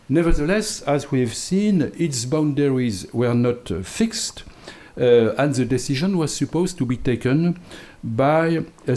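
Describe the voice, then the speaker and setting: A French-accented man speaks at an academic conference in unhurried English, pausing often and restarting his sentences. His voice is soft and mellow rather than bright, and he works through his points at a leisurely pace. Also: medium pitch. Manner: careful, hesitant English with many fillers and restarts. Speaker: invited law professor speaker.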